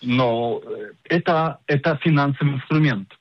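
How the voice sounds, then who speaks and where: A middle-aged man explaining things as an expert guest in a radio interview, didactic and emphatic, pausing to search for words. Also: mid-range voice, no echo. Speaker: insurance agent and financial planner, radio guest. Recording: dull lo-fi radio sound.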